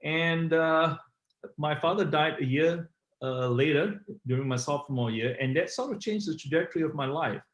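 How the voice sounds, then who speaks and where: A man's voice: on the high side, normal speed, roomy sound. A middle-aged man from Malaysia, an author telling his story as an interview guest, rambling with many fillers. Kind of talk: conversational interview.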